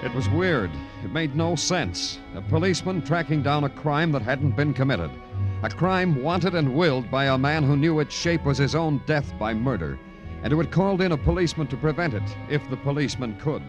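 An actor playing a wry, hard-boiled Broadway police detective in clipped, deadpan old radio noir style. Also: male voice, a little high, moderate pace, natural voice. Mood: brooding narration. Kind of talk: 1940s-50s radio noir acting and narration. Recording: vintage radio drama with music.

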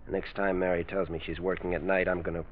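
An actor playing a grim, suspicious husband, terse and intense, in old-time radio drama style. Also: male voice, deep voice, flat delivery, fast talker, lightly acted. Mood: coldly menacing. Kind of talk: 1940s-50s radio drama acting. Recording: dull, noisy vintage radio recording.

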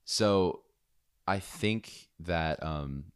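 A young man with a very deep, low voice talks casually about making music, relaxed and chatty, full of "like" and "dude". The sound is dry and close, with no room around it. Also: extremely slow, smooth, dry recording. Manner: laid-back, chatty, lots of "like" and "dude". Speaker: music artist and producer. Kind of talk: casual interview chat.

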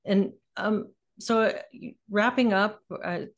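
A woman interviewer with a mid-pitched voice chats casually. She talks hesitantly, searching for words and filling gaps with likes and ums. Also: steady tone, takes it slowly. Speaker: interviewer, host.

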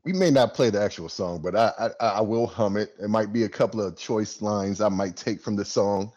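A man's voice: lowish voice, a little fast, darkish tone, highs cut off. A man co-hosting a casual sports podcast, talking in a laid-back, wry and joking way. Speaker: podcast co-host.

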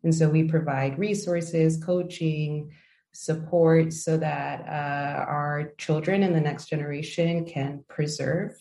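Woman from the us, low mellow voice, slow and thoughtful with lots of ums, roomy echo. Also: steady tone. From United States. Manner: measured, thoughtful, with frequent ums and uhs. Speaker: interview guest, language project founder. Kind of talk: reflective interview answers. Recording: echoey room.

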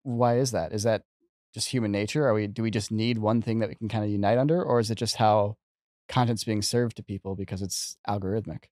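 Slightly low-voiced man, casual host. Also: steady tone, brisk, darkish tone, no echo, clean studio sound. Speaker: podcast host. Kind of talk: casual conversational interview.

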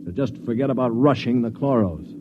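An actor playing a cynical, principled captive in a classic radio drama, wry, firm and world-weary. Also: male voice, medium pitch, brisk, background noise, lightly acted. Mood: matter-of-fact. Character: cynical, level-headed male space captive, outspoken and principled. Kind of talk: classic radio drama acting with first-person narration. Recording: muffled old radio recording, music behind.

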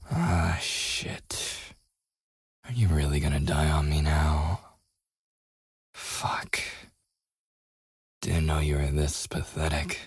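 A man playing a taunting, possessive werewolf in an ASMR roleplay, with a gravelly, husky, breathy voice and a teasing, menacing manner. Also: extremely low pitch, dry recording, studio quality, full character voice. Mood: impatient, urgent. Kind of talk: boyfriend ASMR audio roleplay acting.

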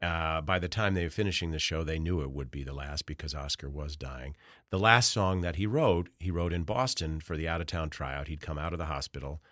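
A middle-aged man with a notably deep, low voice answers interview questions in a measured, anecdotal way, pausing on filler sounds as he thinks. The recording is dry and close, with no room sound. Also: fairly bright tone, no room sound. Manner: measured, anecdotal storytelling with frequent "uh"s. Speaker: author and journalist guest.

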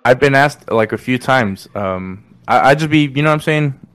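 A man's voice: medium pitch, normal speed, no room sound. Slangy young man hosting a podcast. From Mexican American. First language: Spanish. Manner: slangy, says "you know what I'm saying" a lot, animated. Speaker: podcast host. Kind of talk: casual podcast chat.

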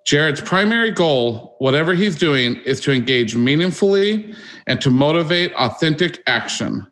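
A man's voice: fairly high pitch, lively intonation, moderate pace, a bit bright, rough recording. A man hosting an interview podcast, reading introductions and putting questions to his guest. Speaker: podcast host.

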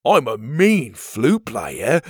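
A man voicing a dreamy, gentle male sidekick creature who talks slowly. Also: mid-range voice, huge pitch swings, husky, no room sound, studio quality, full character voice. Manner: dreamy and slow.

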